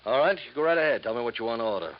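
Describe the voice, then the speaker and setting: A man's voice: mid-range voice, animated, rapid-fire, natural voice. An actor playing a middle-aged, crooked company boss in a radio drama, wheedling and folksy, with salesman patter full of tag questions. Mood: jovial, coaxing. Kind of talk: 1950s radio drama acting. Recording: muffled, hissy 1950s radio recording.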